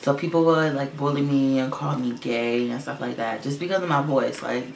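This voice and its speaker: A man's voice: normal speed, vocal fry. A young man rambling casually through a storytime vlog, with lots of fillers and swearing; he says his voice sounds like a girl's. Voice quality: voice he calls low for a boy, sounding like a girl's. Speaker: vlogger. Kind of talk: casual storytime monologue to camera. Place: room at home. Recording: roomy echo with faint filter hum. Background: fish tank filter hum.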